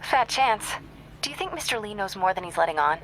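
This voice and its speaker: An actress voicing a determined young female reporter with a brisk, wry manner. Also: medium pitch, very animated, fairly bright tone, a little hiss or hum, rough recording.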